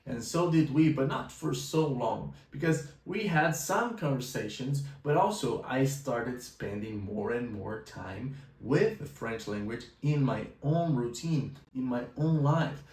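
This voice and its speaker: Young male language teacher, Brazilian accent, native Portuguese speaker, relaxed vlog storytelling, mid-pitched voice, mic set back. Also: unhurried, few pauses. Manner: casual, conversational, with filler phrases. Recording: roomy echo, mic at a distance.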